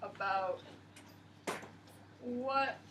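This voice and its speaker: High bright teacher lady, talking to little kids slow and clear, mic kinda far off in a classroom. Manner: slow, emphatic, questioning the class. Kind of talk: classroom lesson to young children. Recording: distant classroom mic, faint noise.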